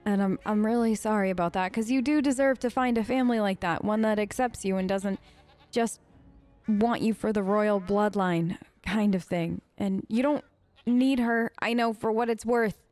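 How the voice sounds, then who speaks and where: A woman let's player improvising in character over a game, conversational, gentle and reassuring, pausing as she finds her words. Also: mid-range voice, no echo, soft background music.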